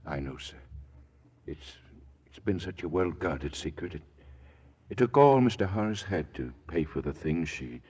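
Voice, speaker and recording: An actor playing a loyal, anxious longtime manservant in mid-century radio drama, husky, halting and respectful. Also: male voice, extremely low pitch, a little mellow, full character voice. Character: deferential, devoted, anxious male longtime servant. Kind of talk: 1940s-50s American radio drama acting.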